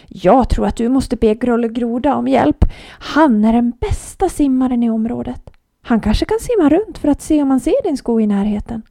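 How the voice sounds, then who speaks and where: A tiny, eager shrew in a storybook, voiced by a woman, with a high squeaky voice that jumps up well above her own. The tone is mellow and the sound is dry and close. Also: animated, gravelly, no echo. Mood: helpful. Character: tiny cute male shrew, eager and helpful.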